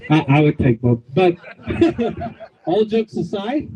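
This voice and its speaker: Bangladeshi-born guy speaking outdoors to a crowd, high voice, repeats himself, cracks little jokes. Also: sing-song, slow, warm tone, rough recording. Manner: emphatic, repetitive, rallying with asides and jokes. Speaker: community organizer giving a speech. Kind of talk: outdoor public speech. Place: outdoors at a street corner.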